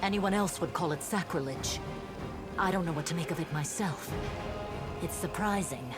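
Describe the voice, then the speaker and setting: A woman acting a low-voiced, earnest paladin officer. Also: natural voice. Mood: troubled, resolute. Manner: formal, measured military report. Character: earnest, dutiful female paladin officer of a crusade. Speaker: game character reporting to a commander. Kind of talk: voice-acted fantasy game dialogue. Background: music.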